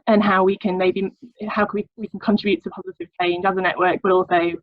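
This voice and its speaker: A woman speaks in a measured, hesitant way on a video call, keeping her voice flat and even. The sound is dry and dull, like laptop call audio. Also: mid-range voice, no room sound. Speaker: steering group member.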